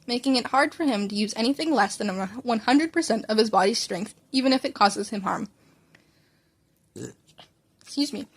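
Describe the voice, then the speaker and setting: A woman's voice: a little high, natural voice. A woman voicing an enthusiastic, slightly shy doctor who rambles through explanations and apologizes for it.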